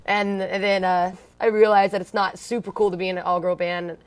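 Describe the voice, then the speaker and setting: A woman's voice: mid-range voice, little pitch movement, fairly quick. A young woman from Long Beach, California, a punk band singer telling her story in an interview, chatty and blunt, full of "like" and "um". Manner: blunt, slangy, swears casually.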